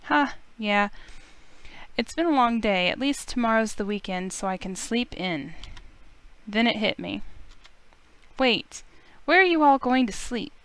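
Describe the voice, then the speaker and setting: Woman reading as a smooth-voiced, earnest young heroine. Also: on the high side, lively intonation, unhurried, long pauses, a bit bright. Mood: reflective, tired. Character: dutiful, weary young woman, visual novel heroine. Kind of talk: read-aloud visual novel narration and dialogue.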